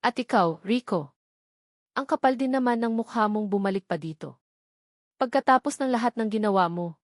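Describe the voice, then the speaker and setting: A woman's voice: a little high, animated, normal speed, no room sound, studio quality, close to an everyday voice. A woman voicing a furious middle-aged mother who scolds with indignant, accusing questions, in an audio drama.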